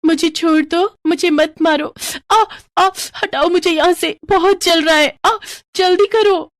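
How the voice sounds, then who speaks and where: A woman voicing a playful young fairy princess in an animated fairy tale. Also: very high voice, a little slow, no echo, clean studio sound, pitched above the performer's own voice. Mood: pained, pleading. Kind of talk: animated moral story dialogue.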